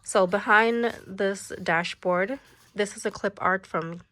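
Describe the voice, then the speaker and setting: A young woman with a slightly stuffy, nasal voice from a cold, casually walking through her planner setup in a chatty, relaxed way, with fillers like "like" and "um". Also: mid-range voice, slow pace. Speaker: planner vlogger. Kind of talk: casual show-and-tell planner walkthrough.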